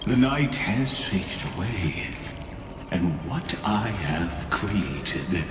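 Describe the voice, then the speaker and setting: A man playing a menacing godlike mastermind villain in a video game, coldly courteous and mocking, with grandiose, deliberate delivery. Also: deep voice, exaggerated voice. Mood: grandiose menace. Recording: long hall reverb over music.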